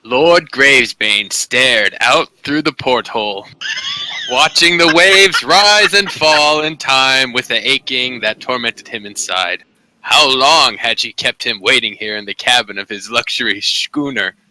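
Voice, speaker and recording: A man's voice: medium pitch, measured pace, sharp tone, slight background noise, poor audio. A man reading aloud as an amateur, in dramatic romance novel narration. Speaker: amateur reader.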